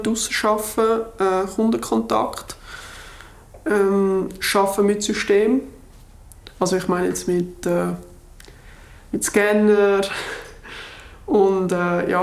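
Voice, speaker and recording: Swiss postal worker in her late twenties, casual and full of pauses, mid-pitched voice in a roomy space. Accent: Swiss German. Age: 20s. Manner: casual, many filler pauses. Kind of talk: documentary interview.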